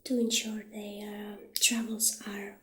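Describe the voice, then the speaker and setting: Soft, slow-talking witch shopkeeper, played by a woman. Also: mid-range voice, flat delivery, roomy sound. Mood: softly admiring. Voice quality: soft, breathy, half-whispered. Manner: gentle, unhurried, hesitant pauses. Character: friendly witch shopkeeper showing off potion ingredients. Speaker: ASMR roleplay performer. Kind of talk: soft-spoken ASMR roleplay.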